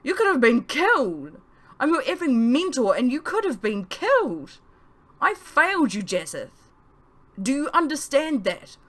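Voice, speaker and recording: A woman's voice: sits high, very animated, measured pace, a bit bright, pitched above the performer's own voice, full character voice. A woman voicing an anguished, protective male mentor in an audiobook, speaking with emphatic, pleading intensity.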